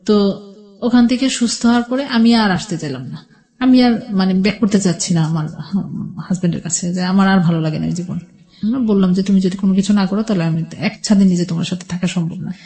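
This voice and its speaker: A woman with a Bangladeshi accent shares a personal story as a guest on a radio show. She speaks at a middling pitch in loose, colloquial sentences that wander from point to point. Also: quick pace, mellow. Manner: rambling, colloquial, quotes past conversations. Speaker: guest telling own life story.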